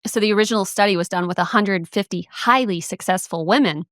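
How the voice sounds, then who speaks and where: A woman's voice: mid-range voice, fast, fairly bright tone, no echo. Woman, mid-range voice, dry close mic, friendly podcast co-host explaining facts. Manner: friendly, self-deprecating, laughs at herself. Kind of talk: casual chat and reading out research.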